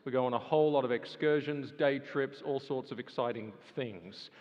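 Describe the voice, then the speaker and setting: A man making a casual, chatty announcement to an audience in a large auditorium, with lots of fillers and quick asides. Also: medium pitch. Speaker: event presenter promoting a program. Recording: reverberant hall, dull sound.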